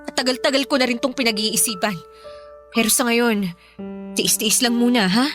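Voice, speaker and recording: A woman's voice: fairly high pitch, moderate pace, a bit bright, soft background music, lightly acted. A woman with a Filipino accent playing a fed-up, protective young elder daughter in a radio drama, emphatic and reproachful. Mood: frustrated, resolute.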